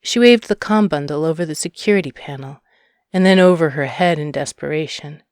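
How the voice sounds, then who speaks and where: A woman's voice: sits low, fairly quick, darkish tone, dry recording, clean studio sound. A woman narrating a short story from a script. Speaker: audiobook narrator.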